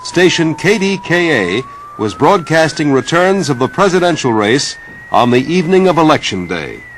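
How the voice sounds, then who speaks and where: Male narrator, documentary script, animated melody, slightly high register, faintly noisy old tape. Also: moderate pace. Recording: old broadcast tape with hum, hiss.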